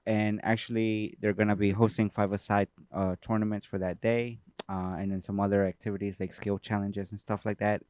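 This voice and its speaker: A man co-hosting a casual podcast, explaining things in a relaxed way. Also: fairly low pitch, dry recording. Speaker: podcast co-host. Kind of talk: casual chat.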